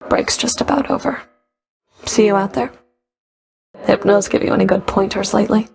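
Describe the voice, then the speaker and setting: A woman voices a stern Fury who enforces the rules of the underworld in a video game. Her mid-pitched voice carries a marked creak. She speaks in a cool, clipped manner with dry, understated sarcasm. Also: moderate pace, darkish tone, close to an everyday voice. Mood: dry, teasing. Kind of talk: video game character voice acting.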